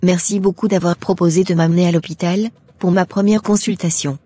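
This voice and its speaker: A woman's voice: on the low side, steady tone, fairly quick, dark tone, husky. A woman voicing a troubled, trusting young mother-to-be in a French cartoon. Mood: grateful. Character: troubled, trusting pregnant woman running a restaurant. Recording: music behind the voice.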